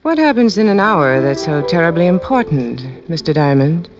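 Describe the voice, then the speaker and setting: Seductive femme fatale, coy and scheming, lively swooping delivery, vintage radio drama, muffled sound. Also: female voice, sits low, normal speed, music in the background. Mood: teasing, insistent. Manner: coy, insinuating. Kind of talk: 1940s-50s radio acting. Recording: muffled old radio recording.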